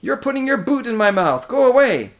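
A man's voice: very high voice, big pitch swings, fairly quick, voice pushed up. A man voicing an angry, blustering male dwarf who bellows indignantly in a storybook reading.

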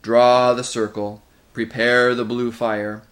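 A man voicing a menacing male werewolf with a grim gray voice and a slow, commanding manner in a storybook. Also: medium pitch, flat delivery, a bit bright.

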